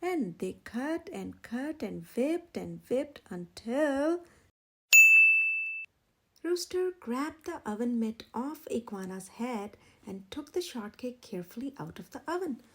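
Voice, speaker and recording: A woman narrating a children's storybook in an expressive, measured way. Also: on the high side, breathy edge. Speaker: children's read-aloud channel narrator.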